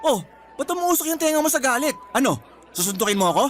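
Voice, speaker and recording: A conceited young graduate who looks down on his older brother. The voice is very high for a man, Filipino-accented, cocky and taunting. Music runs underneath in classic radio drama fashion. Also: very animated, fast talker. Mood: taunting anger. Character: arrogant, mocking younger brother in his twenties, fresh graduate.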